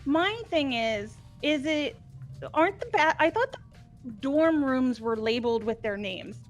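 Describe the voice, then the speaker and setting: A woman's voice: high-pitched, animated, unhurried, soft background music. A woman in a casual group discussion, questioning and reasoning aloud as a game player.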